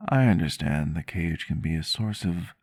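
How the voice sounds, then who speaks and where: Man playing very deep, soft-spoken gentle vampire doctor. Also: moderate pace, dry recording, studio quality. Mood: tender, reassuring. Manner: soft-spoken, formal wording, reassuring. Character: gentle, courteous male vampire doctor, caring partner. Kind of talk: roleplay ASMR, soft intimate acting. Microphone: close microphone, dry sound.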